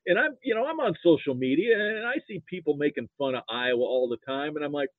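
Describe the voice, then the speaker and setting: A man's voice: on the high side, lively intonation, fast, no room sound. Older American man on a phone-like line. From Nebraska, United States. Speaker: podcast guest, football fan. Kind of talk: casual sports chat. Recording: thin, narrow phone-like line.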